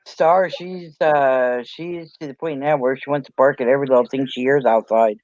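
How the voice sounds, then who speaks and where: A woman's voice: rumbling low, fairly even intonation, moderate pace, darkish tone, no echo. Woman livestream host, agreeable, laughs between remarks, dull lo-fi audio. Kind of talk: casual livestream chat.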